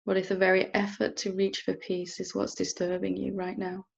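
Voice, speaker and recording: A woman teacher leading a guided meditation in a gentle, unhurried manner with long pauses. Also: mid-range voice, completely flat delivery, creaky, breathy edge, highs cut off. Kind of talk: guided meditation, softly instructing.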